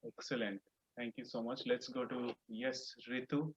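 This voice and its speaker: A man's voice: medium pitch, fairly even intonation, normal speed, husky, highs cut off. A man moderating an online class over a video call, calling on students and encouragingly repeating their answers back. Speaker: class host.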